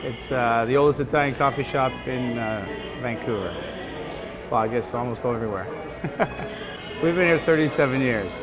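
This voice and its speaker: A man's voice: medium pitch, moderate pace, over music. A middle-aged man from Canada who runs a coffee shop, talking candidly in an interview inside his cafe. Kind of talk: casual interview.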